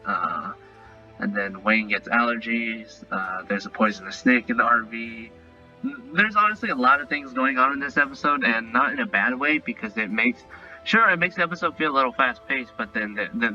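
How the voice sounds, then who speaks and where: Sharp, rambling man reviewing casually. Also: medium pitch. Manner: rambling, halting, repeats himself. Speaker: online cartoon reviewer. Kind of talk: casual unscripted review and recap. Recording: dull lo-fi audio, music bed. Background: faint music.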